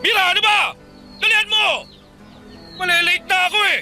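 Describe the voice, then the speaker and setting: A man voicing a short-tempered middle-aged husband who snaps and shouts in a radio drama. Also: very high-pitched, steady tone, fairly quick, exaggerated voice. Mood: shouting. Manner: snapping, shouting. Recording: background music. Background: soft music.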